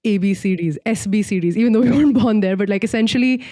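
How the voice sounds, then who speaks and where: Young woman from singapore just chatting on a podcast, soft mellow tone, says like a lot, really dry close sound. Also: mid-range voice, quick pace, dry recording. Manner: informal, peppered with "like". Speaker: podcast co-host. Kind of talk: casual reflective chat.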